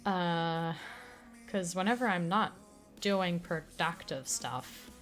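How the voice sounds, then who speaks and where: A woman streamer chatting casually with her viewers, rambling with many fillers, her throat still slightly raspy from an illness. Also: lowish voice, slow, a bit bright, faint music behind. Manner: rambling, full of fillers and restarts. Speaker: streamer and audio creator. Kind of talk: casual livestream chat.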